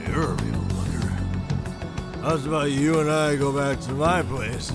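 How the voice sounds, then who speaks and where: Slow, gravelly, belligerent drunk. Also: male voice, mid-range voice, exaggerated voice. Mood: leering. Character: belligerent drunk man in a suit, crude bully. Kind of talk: dubbed video game cutscene acting. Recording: loud music over the voice.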